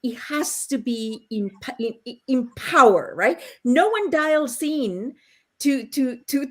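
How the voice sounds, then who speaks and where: A woman's voice: sits high, animated, slow pace, fairly bright tone. A woman hosting a casual panel chat on a video call, telling stories with frequent laughs. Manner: chatty, laughing storyteller. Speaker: host.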